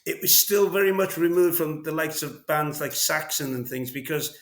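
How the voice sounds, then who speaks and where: A man's voice: fairly high pitch, normal speed, fairly bright tone, roomy sound. A middle-aged Welsh man from North Wales, a veteran drummer telling his story in a casual interview, rambling with many fillers and restarts.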